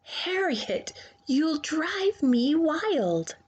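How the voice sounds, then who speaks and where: A woman reading a children's storybook aloud expressively, with theatrical pauses and hushed emphasis. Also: high voice, very animated, lo-fi sound. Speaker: children's book reader.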